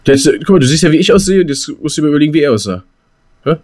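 A man's voice: fairly high pitch, animated, racing, darkish tone. A man co-hosting a podcast in casual banter, teasing and often restarting his phrases. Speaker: podcast co-host. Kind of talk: casual banter between friends.

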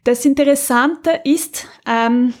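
A woman's voice: high voice, slow pace. A woman co-hosting a podcast in a casual chat, explaining a recommendation and often searching for her words. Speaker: podcast co-host.

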